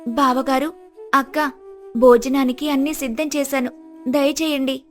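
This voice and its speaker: A woman voicing a kind, polite poor village wife in a moral story, respectful and soft-hearted. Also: high voice, fairly even intonation, a little slow, faint music behind. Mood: welcoming. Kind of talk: storybook dialogue acting.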